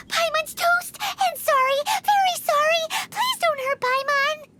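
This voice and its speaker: A woman voicing a tiny, excitable female fairy companion in a video game, with a squeaky childlike voice and a panicky, theatrical manner. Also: slow, over music, exaggerated voice. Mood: panicked.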